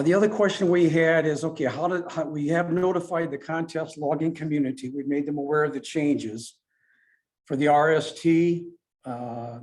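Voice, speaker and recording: A man presents club rules in an informal, explanatory way. His voice is mellow and a touch high for a man. He talks haltingly, often repeating and correcting himself. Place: club meeting room with a few people present.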